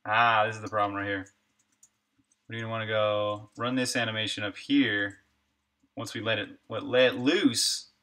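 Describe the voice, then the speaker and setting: A man's voice: on the low side, steady tone, normal speed, sharp tone. A man streaming his game development live, talking casually through his code and reacting to chat. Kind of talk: live coding stream, thinking aloud.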